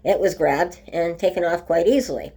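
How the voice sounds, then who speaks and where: A woman talking casually in a home video update, her voice rough from a cold, full of ums and restarts. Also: on the low side, normal speed, dark tone. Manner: chatty, many ums and restarts. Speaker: vlogger. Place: at home by a window.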